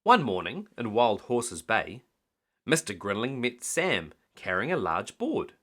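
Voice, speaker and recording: A man's voice: on the low side, very animated, a little slow, sharp tone. A man reading a children's bedtime story aloud expressively, as a storyteller.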